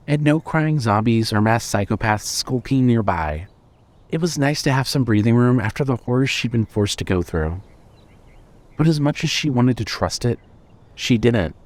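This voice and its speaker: A man's voice: medium pitch, darkish tone. A man narrating a scripted fiction story as the narrator of an audio drama.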